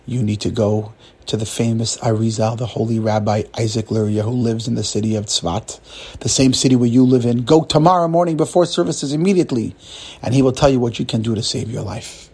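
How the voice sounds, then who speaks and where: A man's voice: medium pitch, a little fast, darkish tone, slightly rough, pitched below the performer's own voice. A man voicing a solemn angel in a religious story, grave and commanding. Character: solemn angel of death in a dream.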